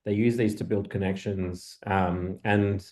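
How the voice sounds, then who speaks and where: A man answering an industry question in an online info session, pausing often and restarting. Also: sits low, steady tone, darkish tone. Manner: halting, full of ums and restarts. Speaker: industry partnerships staff member.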